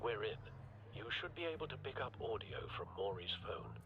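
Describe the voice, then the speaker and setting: An actor playing a loyal, watchful older butler in a video game, with a dry, formal and articulate delivery. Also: male voice, lowish voice, expressive, moderate pace, highs cut off. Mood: businesslike, dry.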